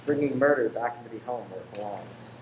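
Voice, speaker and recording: A man gives scripted narration for an old-time radio play. His voice sits in the middle range, in a roomy space with clear background noise. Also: medium pitch, fast.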